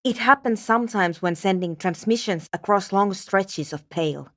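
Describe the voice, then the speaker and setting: An actress playing a cool, scientifically curious young woman programmer in a video game, speaking in a measured, technical, dryly formal way. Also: medium pitch, dry recording, clean studio sound, close to an everyday voice. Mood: intrigued, analytical. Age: young adult. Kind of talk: video game character voice acting.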